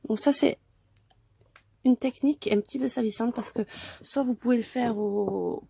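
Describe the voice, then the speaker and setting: Hoarse soft-spoken woman with a cold. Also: a little high, moderate pace, no room sound. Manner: soft-spoken, often near a whisper, hesitant. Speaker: craft tutorial host. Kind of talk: calm step-by-step craft tutorial.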